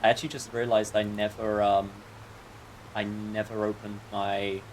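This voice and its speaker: A man's voice: on the low side, monotone, normal speed, slight background noise, rough recording. A man livestreaming a video game with casual chat and wry, joking, sometimes sweary commentary.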